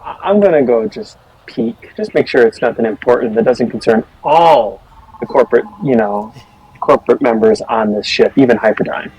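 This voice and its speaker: Husky male tabletop player, casual. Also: medium pitch, normal speed, a little mellow, highs cut off. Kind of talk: casual in-game role-play chat.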